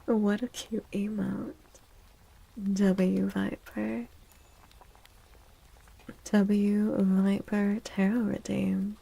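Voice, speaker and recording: A woman streaming as a tarot host, speaking gently in a breathy ASMR style that often drops to a whisper, close to the microphone. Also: mid-range voice, flat delivery, low-quality recording. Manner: gentle, slow, drawn-out phrasing. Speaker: livestream host doing tarot readings. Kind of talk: soft-spoken ASMR, chatting to viewers.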